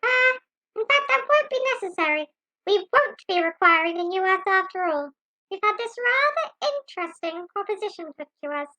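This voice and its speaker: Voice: very high-pitched, animated, normal speed, sharp tone, no echo, exaggerated voice. An actor voicing a pompous, jaded talking mouse in an amateur audio drama. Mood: dismissive. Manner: pompous, exasperated.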